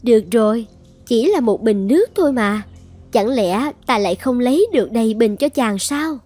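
A woman playing a proud dragon goddess in a dubbed fairy-tale cartoon, with theatrical, expressive delivery. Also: a little high, moderate pace, faint music behind. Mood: caring, resolute. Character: proud dragon goddess, devoted wife turned vengeful.

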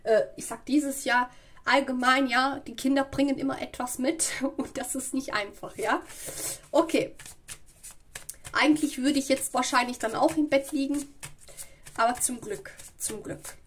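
A woman with a slight cold giving a spoken tarot reading at home, explaining slowly and often checking in with "ja" and "okay". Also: high voice, fairly even intonation, fairly bright tone, slight background noise. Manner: slow, searching and explanatory, with frequent "ja" and "okay". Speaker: tarot reader. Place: home room with a tarot table.

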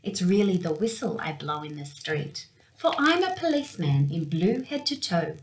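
A young woman reading a picture book aloud for children in an expressive storytelling manner. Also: on the low side, moderate pace, a little hiss or hum, low-quality recording. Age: young adult. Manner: expressive, with acted-out sound words. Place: small indoor room with window blinds.

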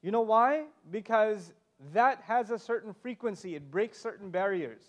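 High-voiced man, animated and joking. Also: normal speed. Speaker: panel speaker. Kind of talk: live talk to an audience. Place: convention hall.

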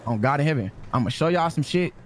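A man's voice: fairly high pitch, expressive, fast talker, slight background noise. An American man bantering in slang as a gang member in an online game, brash and insistent, often repeating himself.

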